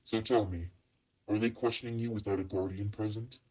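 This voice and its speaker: A man's voice: lowish voice, fairly even intonation, a little fast, darkish tone, close to an everyday voice, rough recording. A man playing a cold, menacing, possessive father in an acted fan fiction audio drama, icily polite and biting.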